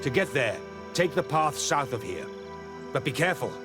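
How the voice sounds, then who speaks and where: An actor voicing a fanatical, fearful, self-righteous priest in a video game, with a haughty, agitated and theatrical delivery. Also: male voice, medium pitch, very animated, normal speed, lightly acted. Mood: grim, wary. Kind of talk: dramatic video game acting. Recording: background music behind the voice.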